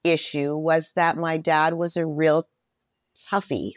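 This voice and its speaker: A woman in her sixties speaking candidly and reflectively as an interview guest, with measured emphasis. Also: low voice, flat delivery, dry recording, studio quality.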